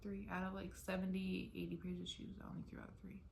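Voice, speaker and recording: A woman's voice: mid-range voice, completely flat delivery, fast talker, gravelly, darkish tone, a little hiss or hum. A young American woman vlogging from her apartment while packing to move, rambling casually with lots of "like" fillers and restarts. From United States. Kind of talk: casual rambling chat to camera.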